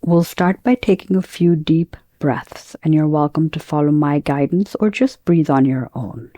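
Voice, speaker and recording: A woman teaching guided meditation, speaking in a calm, gentle, measured way with pauses between phrases. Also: low-pitched, no echo, studio quality.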